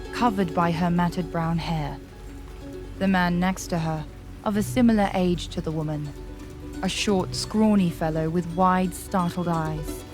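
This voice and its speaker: Low-voiced woman narrating dark fantasy. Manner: dramatic, descriptive second-person storytelling. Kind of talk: scripted dark fantasy audio drama narration.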